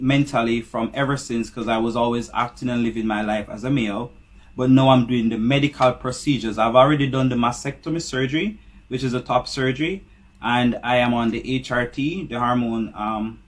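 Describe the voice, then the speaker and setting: A man from Jamaica giving a calm, measured personal account in a played interview clip. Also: medium pitch.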